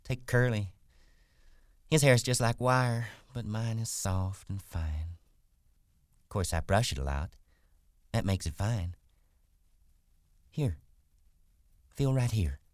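Man putting on a vain, high-pitched young woman. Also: slow, pauses often, no room sound, studio quality. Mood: complacent. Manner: casual, chatty rustic talk.

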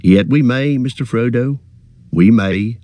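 A man's voice: medium pitch, a little slow, warm tone, no room sound, close to an everyday voice. A man voicing a loyal, plain-spoken male hobbit servant in an audiobook, with rustic, earnest, stubborn speech. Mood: eager, hopeful.

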